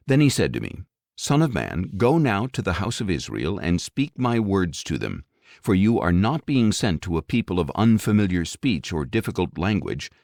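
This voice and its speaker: A man narrating scripture for an audiobook in a solemn, measured, dramatic way. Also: low-pitched, gravelly, a little mellow, no room sound, clean studio sound.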